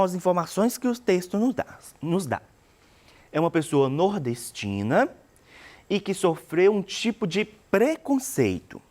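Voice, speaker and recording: A woman's voice: on the low side, darkish tone. A Brazilian woman teacher giving a recorded lesson, reading out a text and explaining it, often checking in with her students. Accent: Brazilian Portuguese.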